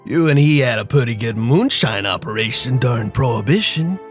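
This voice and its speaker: Calculating mob boss, played by a man, mid-pitched. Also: lively intonation, fairly quick, soft background music, lightly acted. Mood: smug, calculating. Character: self-assured male crime boss, calm and calculating. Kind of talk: noir audio drama acting.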